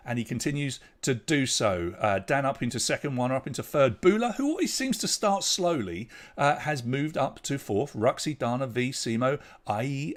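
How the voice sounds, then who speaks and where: Chatty English quizmaster, lively delivery. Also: male voice, mid-range voice. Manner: chatty, jokey banter with players between questions. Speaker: quiz host. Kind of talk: hosting a live online pub quiz.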